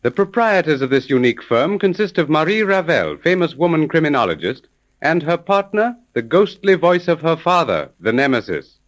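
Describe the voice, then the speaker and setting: A man's voice: fairly high pitch, very animated, moderate pace. A man narrating a 1930s radio mystery as its announcer, in a formal, dramatic manner. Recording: vintage 1930s radio recording.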